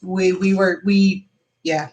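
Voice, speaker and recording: A woman's voice: medium pitch, level tone, noisy background. A woman in her forties with an Irish accent, hosting a casual live chat at home, talkative and teasing. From Ireland. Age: 40s. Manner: chatty, teasing, quick to laugh. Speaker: vlogger hosting a live stream.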